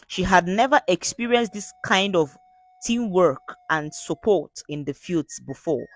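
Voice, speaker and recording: A woman narrates a folktale as a storyteller reading from a script. Her voice sits a little low and moves with very animated intonation. She takes her time, earnest and measured in her delivery. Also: faint music behind.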